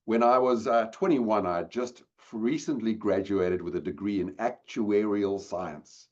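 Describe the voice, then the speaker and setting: A man's voice: on the low side, unhurried, darkish tone, highs cut off. A man with a South African accent giving a webinar talk, addressing the audience directly and engagingly. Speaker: guest speaker. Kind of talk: webinar presentation. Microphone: video call.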